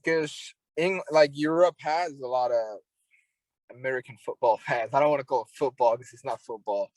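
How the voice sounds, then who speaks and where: A man's voice: mid-range voice, normal speed, no echo, low-quality recording. A young Finnish man talking easily as a podcast guest, his English carrying a Finnish accent. He sounds laid-back and agreeable and leans on filler words. The recording is dry and close. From Finland. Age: young adult. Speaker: podcast guest, hockey prospect. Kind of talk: casual interview chat. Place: home in Finland.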